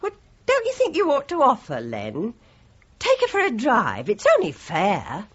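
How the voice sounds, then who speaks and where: Lilting older housewife. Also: female voice, fairly high pitch, huge pitch swings, measured pace, breathy edge, full character voice. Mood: coaxing. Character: caring, gently nagging working-class older wife. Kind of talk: British radio drama acting. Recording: dull, noisy band-limited radio recording.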